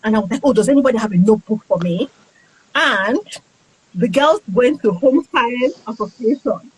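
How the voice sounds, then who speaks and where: Husky-voiced woman author chatting. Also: fairly high pitch, lively intonation, normal speed, low-quality recording. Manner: friendly, greets viewers mid-talk. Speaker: author, guest. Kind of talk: casual livestream interview.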